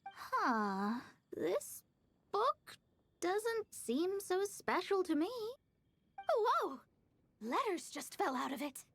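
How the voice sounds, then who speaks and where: Haughty, high-pitched young female student. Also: big pitch swings, takes it slowly, fairly bright tone, no room sound, exaggerated voice. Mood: curious. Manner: dramatic, sighing, haughty. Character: proud, sarcastic young female astrologer student. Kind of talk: anime game voice acting.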